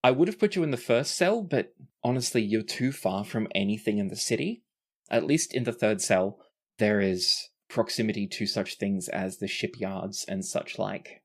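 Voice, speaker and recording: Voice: medium pitch, expressive, moderate pace. A game master and host narrating a tabletop roleplaying podcast in a descriptive way, sometimes self-correcting.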